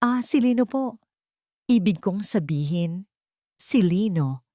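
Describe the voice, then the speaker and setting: A woman's voice: medium pitch, animated, slow pace, mellow, no echo, studio quality. A Filipino woman voicing a meek, polite young provincial bride in a dramatic audio storybook. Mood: nervous. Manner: polite, deferential.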